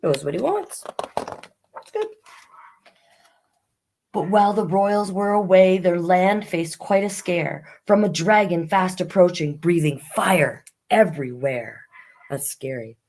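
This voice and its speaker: Woman, slightly low pitch, children's storytime host, expressive rhyming reading, casual asides. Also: takes it slowly, pauses often. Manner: expressive sing-song reading, chatty asides to young listeners. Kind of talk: reading picture books aloud with casual asides.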